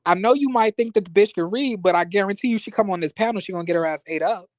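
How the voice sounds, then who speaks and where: A man with an American accent chatting casually as a livestream host, in a sassy, expressive, slangy conversational way. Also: sits high, fast, no room sound, clean studio sound. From United States, born in rural Florida and raised in Las Vegas. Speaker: YouTube reviewer and livestream host. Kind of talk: casual livestream chat with viewers.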